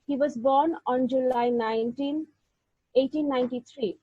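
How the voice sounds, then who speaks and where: A woman giving an illustrated lecture, reading verse aloud and narrating in a measured, deliberate way with occasional slips. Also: high-pitched, steady tone, slightly rough, no room sound. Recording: dull, lo-fi sound.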